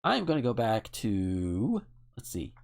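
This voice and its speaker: Male host, informal and rambling, highly varied intonation, casual chat delivery. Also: huge pitch swings, measured pace, creaky. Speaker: podcast host. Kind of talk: casual chat, reading out word lists.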